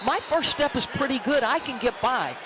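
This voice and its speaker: A man with a very high-pitched voice gives color commentary on basketball. He explains emphatically, often with rhetorical questions. The relayed arena broadcast is extremely noisy. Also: animated, moderate pace. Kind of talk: live sports analysis.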